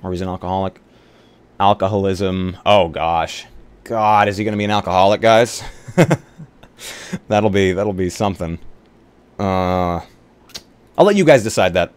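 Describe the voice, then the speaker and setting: A man's voice: low-pitched, unhurried, pauses often, smooth. A man chatting casually on a live roleplaying stream as he talks through building a game character.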